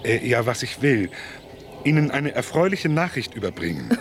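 An actor playing a wry male junior detective in a radio crime drama, smooth and mocking. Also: mid-range voice, big pitch swings, moderate pace, a little hiss or hum, close to an everyday voice. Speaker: police detective.

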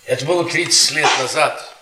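A worn-down, sardonic professor in a radio play. His voice is fairly high and bright, with a deliberate, theatrical storyteller's delivery. It sounds roomy and set back from the microphone. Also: slight background noise. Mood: grave, haunted. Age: middle-aged. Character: bitter, worn-down middle-aged history professor, sardonic storyteller. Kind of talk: Soviet radio theatre acting, dramatic monologue. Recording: roomy stage-like echo, distant from microphone.

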